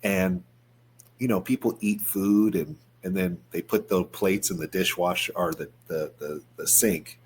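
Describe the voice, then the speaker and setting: Very low-voiced man in his forties, podcast host. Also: a little slow, a little mellow, breathy edge. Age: 40s. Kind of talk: conversational podcast hosting.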